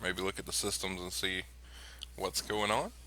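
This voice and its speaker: A man's voice: deep voice, moderate pace, noisy background. A man playing in a tabletop game who takes charge and hands out tasks to the others. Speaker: player. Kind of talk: casual tabletop play.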